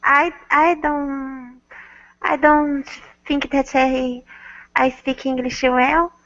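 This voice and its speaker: A woman whose first language is Portuguese answers slowly in a high, flat voice, halting and modest. The dry, dull sound of her video call mic is clear. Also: dry recording. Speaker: student. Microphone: computer mic on a video call.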